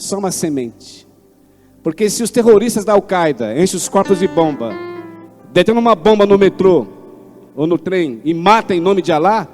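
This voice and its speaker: A man, preaching a sermon with fervent swings between loud declaiming and low asides. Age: 60s. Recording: long hall reverb, faint instrument music behind.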